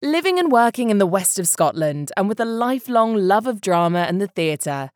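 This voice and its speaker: A woman's voice: medium pitch, big pitch swings, normal speed, no room sound, clean studio sound. A woman presenting a podcast, reading a scripted introduction.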